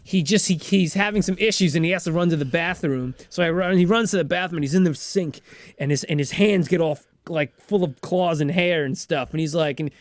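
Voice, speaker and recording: A man co-hosting a show, improvising a comedic film recap in a rambling, stammering, excitable and casual way. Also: a little high, a little fast, no room sound. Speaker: podcast co-host retelling a film.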